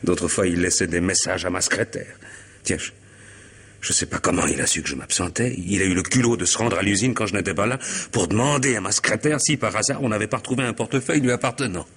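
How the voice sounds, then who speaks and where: A tormented man at the end of his nerves in a French radio mystery. His voice is low and husky. He broods, stumbles and repeats himself, bitter and hunted. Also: fairly bright tone. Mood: aggrieved. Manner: halting, repeats words, brooding. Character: anguished, disillusioned man who feels hunted and driven mad.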